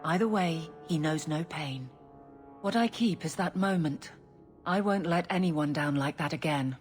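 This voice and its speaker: A firm, loyal guardswoman in a fantasy game. Her voice is on the low side and earnest, with faint music underneath. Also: measured pace. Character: steadfast, no-nonsense female guard officer. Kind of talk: dramatic game cutscene acting.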